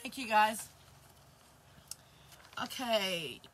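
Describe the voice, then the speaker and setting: A woman in her fifties with a hoarse voice, chatting to viewers as she works through a live craft project in her craft room. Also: mid-range voice, lively intonation, extremely slow, fairly bright tone, slight background noise. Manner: chatty, greets viewers while working with her hands. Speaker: craft channel host. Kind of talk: live crafting stream chat. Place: indoor craft room with shelves. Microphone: camera facing her across the table.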